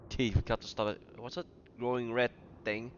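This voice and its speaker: A man's voice: mid-range voice, level tone, moderate pace, lo-fi sound, background noise. A man giving casual gameplay commentary, muttering and thinking aloud as he plays. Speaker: game player. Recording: loud game music behind the voice.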